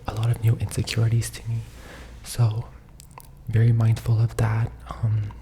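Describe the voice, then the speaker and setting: A man's voice: fairly low pitch, dark tone, slight background noise. A young man in his twenties, whispering an ASMR-style vlog from the floor, dramatic and self-mocking. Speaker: vlogger. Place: sitting on a floor indoors.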